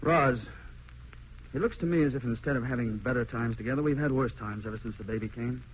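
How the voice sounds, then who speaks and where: An actor playing a discontented young husband, blunt and dismissive, in a vintage radio drama. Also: male voice, medium pitch, quick pace, close to an everyday voice. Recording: muffled old radio broadcast, faint noise.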